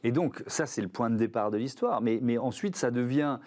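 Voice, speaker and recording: A man hosts a business interview show in a studio. His voice sits in an ordinary middle pitch. He asks his questions haltingly, with plenty of "euh" and restarts. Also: animated, darkish tone. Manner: hesitant questioning with many "euh" and repeated words. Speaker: TV show host.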